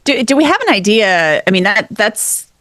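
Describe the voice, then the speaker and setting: A woman's voice: mid-range voice, sing-song, normal speed, sharp tone, no room sound, studio quality. A woman conducting a conversational interview, thinking aloud and rephrasing her questions as she goes.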